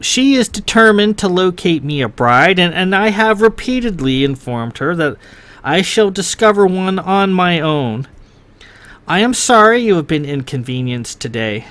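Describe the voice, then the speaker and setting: A man's voice: sits high, expressive, normal speed, a bit bright, voice pushed up. A man voicing a flustered, apologetic young nobleman who stammers politely and is a little out of breath.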